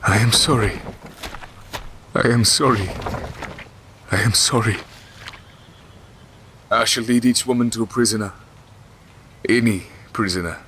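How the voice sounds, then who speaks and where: An actor playing a grieving, exhausted, merciless king in a fantasy audio drama, speaking formally and with deliberate command. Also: lowish voice, sharp tone, slight background noise. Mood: sorrowful.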